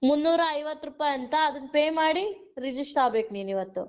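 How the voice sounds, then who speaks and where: Brief: high, rapid-fire woman recruiter, pushy sales pitch, muffled phone line. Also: animated. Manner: pushy, repeats "okay?" to hurry the listener. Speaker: job recruiter on a phone call. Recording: muffled phone call recording.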